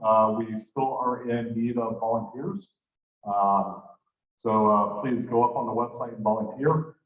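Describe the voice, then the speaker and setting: A man's voice: mid-range voice, fairly even intonation, unhurried. A man making casual announcements at a club meeting, talking in a loose, conversational way and correcting himself as he goes. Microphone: handheld microphone held at a distance. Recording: tinny, echoey room from far off.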